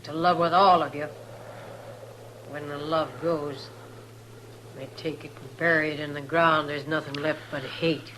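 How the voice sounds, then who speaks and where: A woman's voice: low-pitched, fairly even intonation, measured pace, pauses often. A woman playing a hard, bitter frontier mother and rustler, curt and defiant, in a 1950s radio western. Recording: noisy 1950s radio drama recording.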